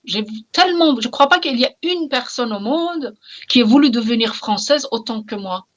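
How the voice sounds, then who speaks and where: A middle-aged woman from Iran, speaking French with a Persian accent, talking passionately and reflectively as a novelist in an interview, often repeating phrases for emphasis. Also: on the high side. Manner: passionate, emphatic, with repetitions and pauses.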